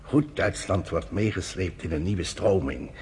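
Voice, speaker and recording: A man's voice: sits low, animated, a little slow, darkish tone, slight background noise. An actor playing a self-assured professor and father who reassures his wife in a lecturing way, in a radio drama. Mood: reassuring.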